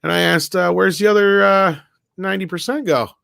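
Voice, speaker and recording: A man's voice: sits high, fairly even intonation, fairly quick, creaky, a bit bright, dry recording. A man chats as co-host of an online panel show, his voice recorded very dry and close. He is blunt and opinionated, leaning hard on his words and swearing freely. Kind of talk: casual opinionated panel chat.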